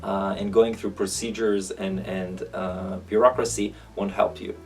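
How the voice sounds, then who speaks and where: Low, gravelly man with an Israeli accent. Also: fairly even intonation, distant mic, soft background music. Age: 30s. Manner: halting, thinking aloud. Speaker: interviewee. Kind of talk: reflective interview answers.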